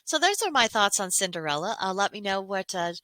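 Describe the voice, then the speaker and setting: A woman host giving reflective commentary in a measured, articulate and explanatory way. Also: medium pitch, big pitch swings, sharp tone, no echo. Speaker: host of a fairy tale commentary series.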